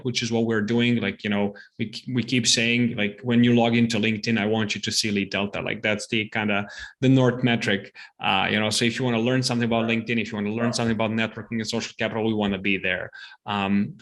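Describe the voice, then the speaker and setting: A man's voice: on the low side, a bit bright. A middle-aged man from the Serbia region talks through his startup story as a podcast guest. His speech is loose and conversational, with frequent restarts, doubled words and fillers. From Serbia, Balkans region. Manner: repeats words often, many fillers. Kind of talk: casual podcast interview.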